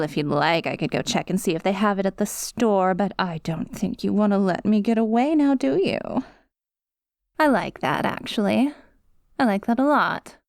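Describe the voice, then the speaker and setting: A woman's voice: mid-range voice, darkish tone, dry recording, clean studio sound. A woman playing a needy, taunting female supervillain obsessed with a hero, in a theatrical, coy ASMR roleplay monologue. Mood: mock-polite. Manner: theatrical, coy, swooping between pouty and menacing.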